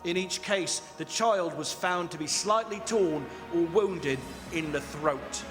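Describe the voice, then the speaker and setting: An actor playing a formal newspaper report reader, declaiming scripted news in a radio drama. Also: male voice, on the high side, slow pace. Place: performing arts center stage. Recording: echoey theatre hall with music behind.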